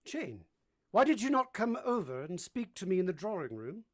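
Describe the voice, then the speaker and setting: Give me a man playing a brooding middle-aged gentleman for an old-time radio drama, intense and commanding, with halting delivery. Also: high-pitched, sing-song. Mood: reproachful. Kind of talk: vintage radio drama acting.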